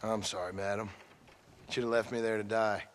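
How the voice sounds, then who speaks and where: A man's voice: on the low side, level tone, fairly quick, a little hiss or hum, lightly acted. A man playing an earnest, weary former outlaw, dry and formally polite, in a video game cutscene. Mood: rueful.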